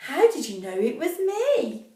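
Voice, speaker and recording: A woman's voice: high-pitched, sing-song, a little slow, mellow, voice pushed up. Lady doing a sweet wistful young princess, fairy tale style, echoey and bit distant. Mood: tender. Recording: echoey room, distant mic.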